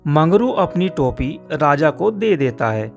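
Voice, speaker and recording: A man's voice: fairly high pitch, big pitch swings, measured pace, creaky. A man narrating a children's cartoon story in a scripted storybook style. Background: soft guitar music.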